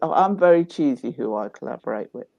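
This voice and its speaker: A man's voice: medium pitch, animated, fast, gravelly, darkish tone, no room sound. Passionate man, dry dull sound. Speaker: event organiser. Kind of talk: panel discussion.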